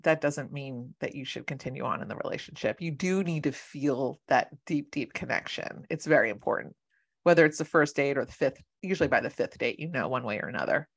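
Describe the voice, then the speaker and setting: A woman with a low-pitched voice hosts an interview show. She works as a matchmaker and speaks in an encouraging, affirming way. She gives advice in a casual, conversational tone. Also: expressive, a little fast, creaky, slightly rough. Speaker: podcast host and matchmaker.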